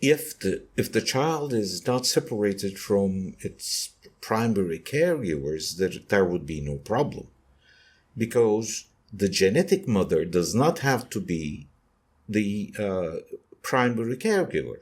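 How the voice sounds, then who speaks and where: Turkish-accented male guest, slow and hesitant, lively pitch. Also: breathy edge. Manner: slow, hesitant with many fillers. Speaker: guest author. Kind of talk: podcast discussion.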